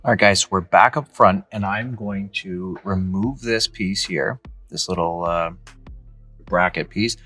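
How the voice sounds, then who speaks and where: Deep voiced guy in his 30s doing a casual how-to vid in a garage, some music behind. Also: measured pace, pushed and bright. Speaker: host of a DIY Jeep how-to channel. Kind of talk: casual step-by-step tutorial narration. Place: indoor garage with high ceiling, in and around a car.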